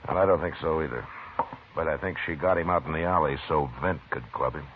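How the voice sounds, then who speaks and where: An actor playing a calm, stern Old West marshal in 1950s radio western style, clipped and unruffled. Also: male voice, a bass voice, brisk. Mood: musing, grim. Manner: clipped, laconic, unruffled questioning. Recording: muffled, noisy 1950s radio recording.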